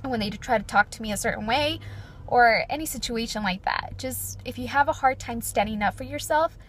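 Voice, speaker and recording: A woman in her twenties, talking earnestly and chattily to her viewers, with a fairly deep voice. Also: fairly quick, fairly bright tone, a little hiss or hum. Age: 20s. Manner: earnest, chatty, frequent "like" and "you know". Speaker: beauty vlogger. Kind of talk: personal reflective chat to camera.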